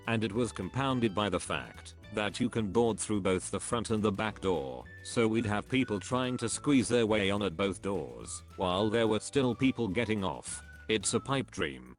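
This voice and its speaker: Male synthetic narrator for reading online comments: lowish voice, evenly phrased, faint music bed. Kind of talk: scripted read-aloud narration.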